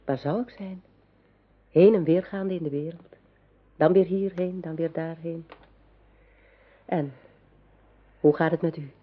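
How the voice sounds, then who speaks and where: Husky, brooding sorceress. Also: female voice, sits low, fairly even intonation, measured pace, long pauses. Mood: cool, veiled. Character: brooding, resentful sorceress, the king's half-sister. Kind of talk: radio drama acting. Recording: thin, phone-like old radio play recording.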